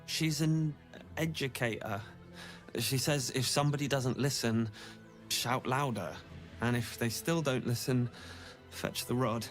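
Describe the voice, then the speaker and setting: An actor playing a hesitant, earnest young dog caretaker in a video game. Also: male voice, mid-range voice, measured pace, soft background music, close to an everyday voice. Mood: timid, earnest. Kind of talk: video game character acting.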